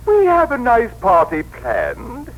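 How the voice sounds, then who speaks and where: Pompous male boss with animated intonation. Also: extremely high pitch, slow, lo-fi sound, heavily acted. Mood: lecturing, unsentimental. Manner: precise, pompous, lecturing. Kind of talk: 1960s radio acting. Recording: faintly noisy old radio broadcast.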